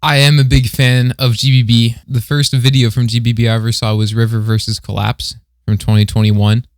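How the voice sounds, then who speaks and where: A man's voice: medium pitch, level tone, brisk, no room sound, clean studio sound. A man chatting casually as a guest on a podcast.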